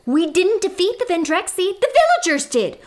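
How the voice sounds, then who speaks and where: An actress playing a disapproving, exasperated starship doctor in a comedic science-fiction radio play, blunt and scolding. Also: female voice, very high voice, big pitch swings, moderate pace.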